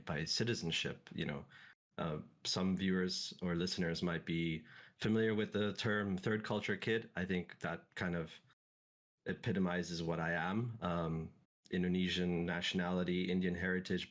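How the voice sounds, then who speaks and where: A man in his thirties from Singapore, talking as a guest in a casual interview, relaxed and reflective with many fillers and restarts. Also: low-pitched. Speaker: esports team CEO, guest.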